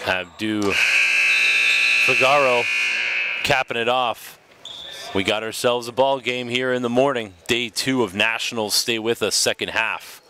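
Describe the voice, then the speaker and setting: A man's voice: mid-range voice, unhurried. A man commentating a basketball game in a casual, chatty way. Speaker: play-by-play commentator. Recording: loud gym noise behind.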